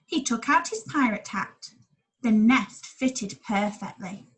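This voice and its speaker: A woman's voice: on the high side, animated, takes it slowly, rough recording. A woman reading a picture book aloud expressively for young children, as a school storyteller. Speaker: primary school staff storyteller.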